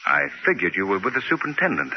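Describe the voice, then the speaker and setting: Deep, brisk, commanding crime-fighter. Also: male voice, big pitch swings. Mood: relaxed, amused. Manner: authoritative, articulate, measured wording. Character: commanding, composed male crime-fighter, suave and learned. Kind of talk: 1940s radio drama acting. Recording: muffled 1940 radio broadcast with noise.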